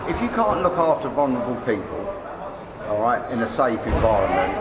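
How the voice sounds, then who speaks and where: A man's voice: mid-range voice, sing-song, fairly quick. A man, a prison officer, answering interview questions in a blunt, emphatic way. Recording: echoey room with loud noise.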